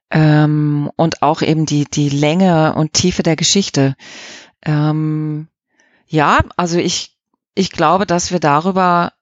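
A woman gives casual interview answers as a guest, halting often yet sounding enthusiastic. The recording is dry and a little dull on top. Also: sits low, darkish tone, dry recording. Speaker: interview guest, audio drama editor.

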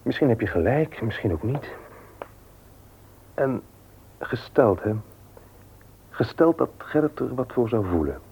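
An actor playing a mild, loving but cautious husband in a radio play, speaking in a measured, questioning way. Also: male voice, mid-range voice, expressive, vocal fry, darkish tone, slight background noise. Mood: cautious, doubtful. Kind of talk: Dutch radio play acting. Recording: muffled old radio play recording.

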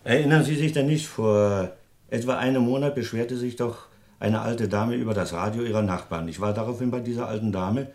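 Man doing a dutiful cop sergeant, average pitch, brisk delivery, old radio drama. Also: a little mellow, natural voice. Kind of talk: 1960s German radio play acting.

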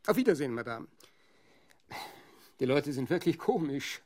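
Casting: a self-important author for radio drama, fairly high voice, very lively intonation, florid courtesy. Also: male voice, moderate pace. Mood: courteous, emphatic. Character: effusively polite, self-important male playwright. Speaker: author character. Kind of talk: German radio play acting.

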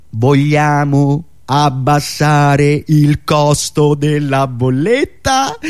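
Theatrical man, highly animated, high-pitched. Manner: indignant, sarcastic, declamatory, with dramatic repetitions and pauses. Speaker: satirical monologist and radio show host. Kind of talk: theatrical political satire monologue.

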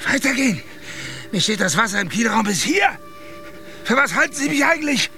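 A man's voice: very high-pitched, very animated, brisk, bright, forceful tone, faint music behind, full character voice. A man playing a furious, bitter and defiant mutinous ship's carpenter in a radio drama.

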